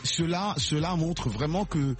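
A man's voice: fairly high pitch, unhurried. A man from Congo hosting a radio music show and interviewing a guest, with many fillers and hesitations. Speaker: radio host.

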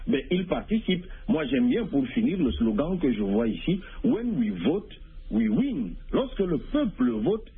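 A man's voice: medium pitch, slightly rough. A man, a guest on a radio show, wrapping up his point with conviction over a phone line. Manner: animated, concluding with conviction. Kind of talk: radio call-in commentary.

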